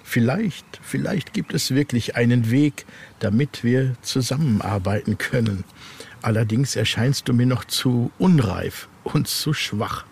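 A man's voice: medium pitch, animated, unhurried, vocal fry, darkish tone, slight background noise. A man reading the part of a menacing, haughty hooded monk from a horror novel. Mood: condescending. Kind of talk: read-aloud horror novel dialogue.

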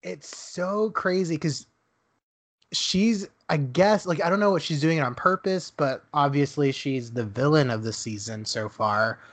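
A man's voice: fairly high pitch, a little slow. A young man from Dallas, co-hosting a podcast, who talks casually with lots of "like" fillers and laughs often. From Dallas, Texas. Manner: chatty storyteller, laughs often, many "like" fillers. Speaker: podcast co-host. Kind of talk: casual chat.

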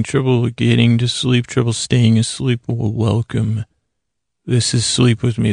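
Male American sleep-podcast host, very gravelly fry, monotone delivery, halting, rambling, full of self-interruptions. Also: mid-range voice, no room sound, studio quality. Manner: halting, meandering, self-interrupting with frequent tangents and pauses. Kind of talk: rambling, improvised bedtime monologue.

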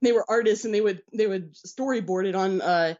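A woman's voice: mid-range voice, brisk, darkish tone. Guest: American woman, eager storyteller, easy laugh, slightly dull lo-fi line. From United States. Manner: enthusiastic, storytelling, laughs easily. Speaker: podcast guest. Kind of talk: casual interview chat.

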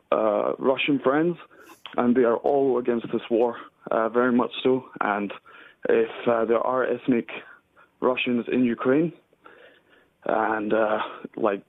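A man with an Ayrshire Scottish accent calling in to a radio show, earnest and hesitant, pausing often. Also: medium pitch, steady tone, no echo. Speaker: radio caller. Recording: tinny dry phone line.

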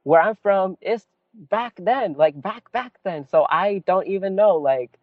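A man from California, a poet and activist, telling his story as an interview guest in an animated, casual way, often saying "like" and "right?". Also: high voice, measured pace, no room sound. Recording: tinny phone-like call audio.

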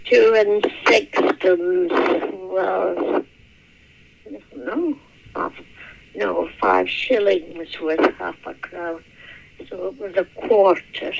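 A woman in her eighties recalling her childhood in a halting, unhurried way. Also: on the low side, steady tone, gravelly, slight background noise. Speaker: interviewee. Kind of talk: reminiscing. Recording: muffled lo-fi phone-like recording.

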